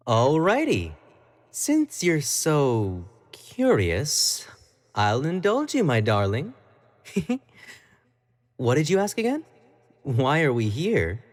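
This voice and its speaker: A man's voice: fairly high pitch, huge pitch swings, takes it slowly, long pauses, exaggerated voice. An actor playing an obsessive, clingy young male pop idol in an audio roleplay, talking to the listener in a coy, playful, doting way. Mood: coy, indulgent. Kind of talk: scripted roleplay monologue to the listener.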